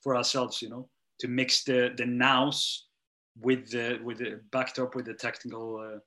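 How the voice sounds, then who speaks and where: A man's voice: mid-range voice, level tone, a little slow, pushed and bright. A middle-aged man who speaks English as a second language, answering interview questions with many restarts and fillers, his voice trailing off at the ends of sentences. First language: not English. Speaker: strength and conditioning coach.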